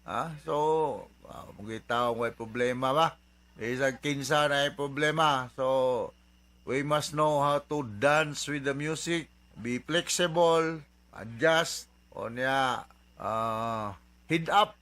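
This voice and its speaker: A man's voice: mid-range voice, slow pace, fairly bright tone. A man with a Cebuano accent, a doctor hosting an advice program, talking informally with English mixed in and frequent questioning tags. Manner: informal, conversational, often tags phrases with questioning interjections. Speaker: radio advice host, doctor and psychiatrist. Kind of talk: radio advice talk mixing in English.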